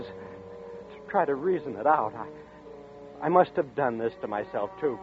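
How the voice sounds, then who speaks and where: A man's voice: on the high side, natural voice. Vince, a man playing a haunted, brooding young man. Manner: tense, brooding first-person narration. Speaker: narrator and lead character. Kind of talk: 1940s radio acting. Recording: tinny old radio broadcast with music.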